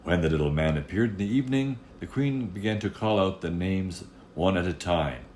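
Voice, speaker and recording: A man telling a fairy tale aloud as an expressive storyteller. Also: deep voice, moderate pace, a little hiss or hum.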